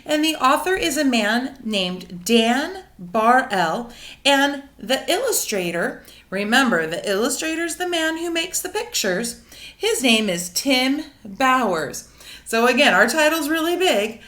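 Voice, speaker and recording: A woman teacher leading a read-aloud lesson for children, animated and putting simple questions to her listeners. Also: high-pitched, a little slow. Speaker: teacher of a young class. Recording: roomy, echoing indoor sound.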